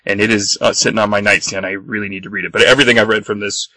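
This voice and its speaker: A man's voice: on the low side, flat delivery, rapid-fire, bright, forceful tone, no room sound. A man chatting casually as the co-host of a podcast. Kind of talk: casual chat.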